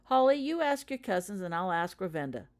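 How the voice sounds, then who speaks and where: A woman's voice: medium pitch, big pitch swings, fairly quick, lightly acted. A woman voicing an earnest, organised schoolgirl who leads her group in a businesslike, rallying way, in a storybook reading.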